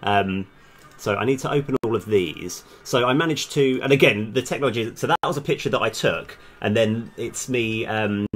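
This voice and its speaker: A man's voice: on the low side, normal speed, fairly bright tone. A man thinking aloud in a casual, hesitant, self-deprecating way while live streaming as a game developer.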